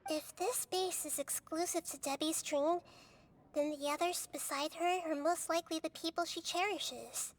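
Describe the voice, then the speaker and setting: A woman voicing a gentle young goddess who speaks in a soft, measured, thoughtful way. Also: extremely high pitch, steady tone. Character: gentle young female god, calm and wise.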